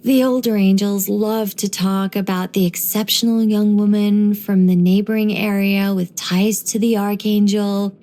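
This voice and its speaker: A woman voicing a gentle, wise young angelic woman in game voice lines, soft and wryly musing. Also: mid-range voice, level tone, a little slow, few pauses, close to an everyday voice.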